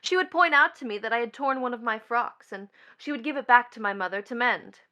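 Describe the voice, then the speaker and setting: An actress playing a bitter, proud young stepdaughter in a stage play, with an emphatic, mocking, dramatic delivery. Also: female voice, on the high side, expressive, fairly quick, pushed and bright. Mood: wounded scorn. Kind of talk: theatrical stage play acting.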